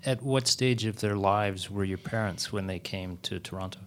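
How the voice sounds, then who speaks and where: A man hosting a conversational interview, giving short, relaxed prompts and follow-up questions. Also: lowish voice, moderate pace, a little hiss or hum. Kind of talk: conversational interview, switching to French.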